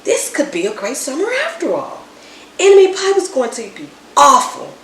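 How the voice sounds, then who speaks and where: A woman reading a children's story aloud in an expressive, dramatic way, with emphatic words and hushed asides. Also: high voice, normal speed. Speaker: storybook reader. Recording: roomy echo, distant mic, background noise.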